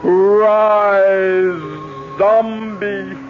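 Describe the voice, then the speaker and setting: A woman's voice: medium pitch, level tone. A woman playing a commanding Caribbean magician in a 1970s radio drama, chanting solemn orders to the undead. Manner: incantatory, slow, solemn commands. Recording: dull vintage radio recording, music behind.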